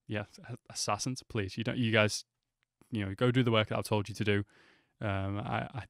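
Clean, low-voiced young man reacting casually. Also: fairly bright tone, smooth, no room sound, clean studio sound. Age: 20s. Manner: slangy, enthusiastic. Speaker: reaction video host. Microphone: close desk microphone, headphones on.

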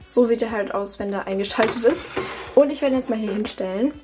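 A woman's voice: on the high side, a little fast, music in the background. A young woman from Germany chatting casually as she talks through her routine at her desk at home, with fillers and self-corrections. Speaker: beauty vlogger. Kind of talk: casual tutorial chat.

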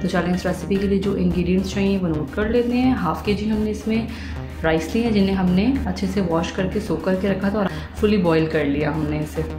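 A woman narrates a home recipe for her cooking channel, moving steadily through each step. Her voice sits in the middle of the range. It rings in an echoey room, with music playing clearly underneath. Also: medium pitch, fairly quick. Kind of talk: recipe voice-over tutorial. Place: home kitchen.

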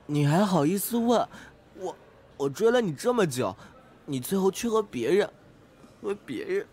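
Audio drama role: guileless male student played by a man, slightly high voice, sincere, jealous, literal-minded. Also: expressive, normal speed, darkish tone, slightly rough. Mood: jealous, upset. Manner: earnest, indignant, literal-minded. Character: earnest, naive, jealous male student with a crush. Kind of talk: amateur Chinese audio drama acting.

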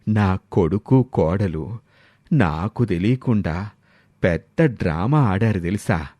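Man acting a slow, low-voiced grandfather. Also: animated, mellow, no echo, heavily acted. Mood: confiding, teasing. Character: elated scheming grandfather-to-be, older man. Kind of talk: dramatised moral story.

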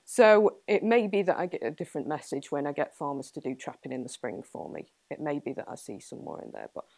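Young english woman answering q's after a talk, bit low voice, thinks out loud, small room. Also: huge pitch swings, a little fast, creaky, dry recording. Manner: thoughtful, hedging, laughs often. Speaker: guest speaker, entomologist. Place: small indoor meeting room with audience.

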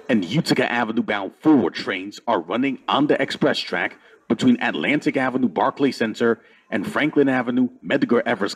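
A man with a somewhat husky, unpolished voice delivers scripted station service announcements. He sits at a medium pitch and speaks formally and in a measured way, as a transit announcer.

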